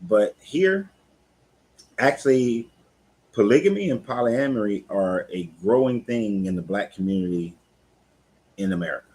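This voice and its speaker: A very low-pitched man in his fifties talks in a relaxed, candid way, like a coach chatting with his audience on a live stream. His delivery is loose and conversational, and he stops now and then to read out viewer comments. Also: unhurried, pauses often, husky. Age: 50s. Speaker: life coach hosting a live talk. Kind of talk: casual live-stream chat.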